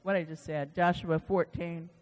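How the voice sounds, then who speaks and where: A man's voice: on the high side, flat delivery, moderate pace. A man preaches in a long, ringing hall reverb. His delivery is conversational, with folksy asides. He repeats phrases for emphasis as he works through scripture. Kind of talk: informal sermon with scripture reading.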